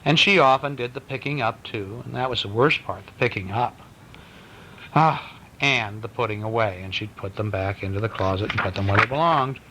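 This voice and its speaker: A man reading a bedtime story at home in a conversational, expressive way, with casual asides to a child. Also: normal speed. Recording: noisy home recording with low rumble. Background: small child chiming in.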